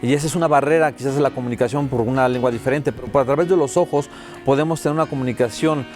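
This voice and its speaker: A man with a Mexican accent voicing a deaf guest's words as an interpreter in a TV interview, steady and first-person with many "eh" fillers. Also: mid-range voice, very fast, soft background music.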